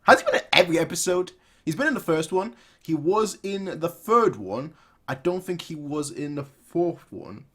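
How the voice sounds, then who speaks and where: A man in his twenties giving casual, off-the-cuff reaction commentary in an animated, rambling way, repeating phrases for emphasis. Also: a little high, moderate pace, slightly rough. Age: 20s. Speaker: reaction video host. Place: small room at home, indoors.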